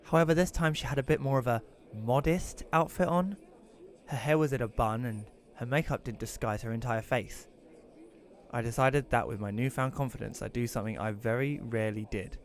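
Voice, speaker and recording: A man's voice: mid-range voice, fairly quick, close to an everyday voice. A man playing an awkward, apologetic widower telling his story in an audio drama, with a hesitant, self-conscious manner. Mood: storytelling. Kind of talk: first-person audio drama narration and dialogue.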